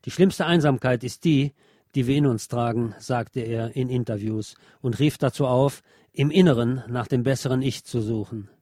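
A man reads a prepared piece as a radio host. His voice sits at a middle pitch and his delivery is measured and deliberate. The sound is dry, with very little room around it. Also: warm tone, no room sound. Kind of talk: read-aloud scripted obituary narration.

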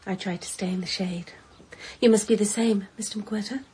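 A woman with a British accent playing a gentle, withdrawn ex-wife in a radio drama, soft-spoken and subdued. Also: mid-range voice, fairly even intonation, moderate pace, breathy edge.

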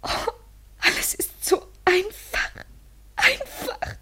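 An actress in a literary German radio play playing a tender, devoted woman in love, fragile and imploring, often breathy and near a whisper. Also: takes it slowly, pushed and bright. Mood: hurt, questioning.

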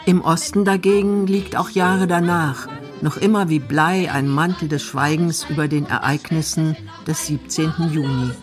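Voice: mid-range voice, steady tone, moderate pace. Scripted radio documentary narrator over music.

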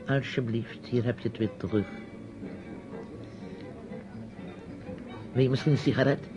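A man's voice: medium pitch, fairly even intonation, fast, lightly acted. An actor playing a reproachful, patronising uncle questioning his niece in a radio play. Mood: brisk, pointed. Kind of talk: radio drama acting. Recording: dull old radio play recording, music.

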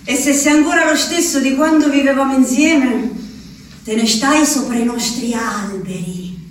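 An actress playing a plaintive, stubborn country woman in an asylum, reciting letters in a drawn-out sing-song for a radio drama. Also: high-pitched, close to an everyday voice. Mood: wistful. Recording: echoey, distant, clearly noisy.

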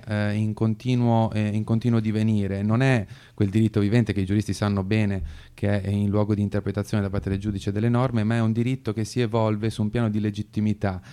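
A man giving semi-improvised political commentary in a halting way, often searching for his words. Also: fairly low pitch, warm tone, clear, resonant tone. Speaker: radio commentator.

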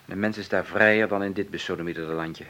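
Pushy scheming lawyer, deep gravelly voice, glib and slangy, radio drama, hissy old recording. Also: male voice, fairly even intonation, fast, pushed and bright. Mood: slick, persuasive. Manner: glib, slangy, persuasive, hesitating over delicate words. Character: brash, scheming lawyer brother, broke and pushy. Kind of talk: Dutch radio play acting.

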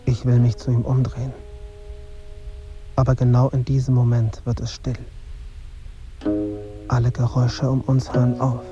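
A man's voice: mid-range voice, monotone, normal speed, pauses often, over music, close to an everyday voice. An actor playing a dazed, gloomy young journalist, narrating his thoughts tersely and broodingly in a radio drama. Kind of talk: radio drama interior monologue. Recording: dull sound, faint ambient noise.

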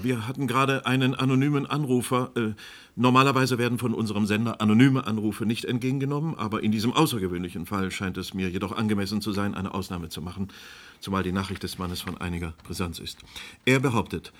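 A man's voice: lowish voice, natural voice. An actor playing an earnest, sceptical radio presenter who presses his guests in a radio drama discussion. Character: insistent, sceptical male radio presenter moderating crisis debate. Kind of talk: radio play studio discussion.

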